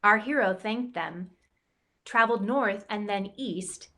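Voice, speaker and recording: A woman who directs campus ministry reads a rhyming picture book aloud, playful and expressive, giving the quoted lines a voice of their own. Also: medium pitch, slow. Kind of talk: storybook read-aloud in rhyme.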